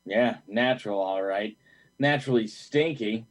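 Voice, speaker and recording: A man's voice: on the low side, a little slow, voice pushed down, close to an everyday voice. A man playing a sarcastic, teasing father in an amateur audio play, with dry mockery. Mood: dry, mocking. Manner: dry, mocking. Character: sarcastic, teasing father who mocks hippies.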